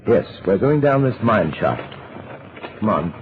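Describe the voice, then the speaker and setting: Cool, wry, authoritative detective captain, urbane and animated, vintage radio drama through narrow tinny sound. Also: male voice, lowish voice, measured pace, background noise. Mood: purposeful. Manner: urbane, dry, crisply authoritative. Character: suave, commanding big-city detective, cool and wry. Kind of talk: 1940s radio acting.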